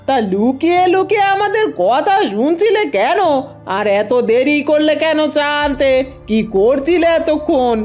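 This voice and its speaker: Scheming older village mother-in-law, played by a woman: high, unhurried, scolding cartoon delivery. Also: lively intonation, darkish tone, faint music behind. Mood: scolding, bossy. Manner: scolding, imperious. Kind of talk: cartoon fairy-tale voice acting.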